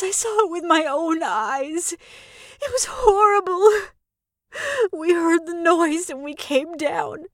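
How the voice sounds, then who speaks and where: Woman voicing a high, theatrical scheming lady. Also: dry recording, studio quality. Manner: theatrical, tearful pleading. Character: scheming noblewoman feigning grief and outrage. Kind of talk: dramatic audiobook reading.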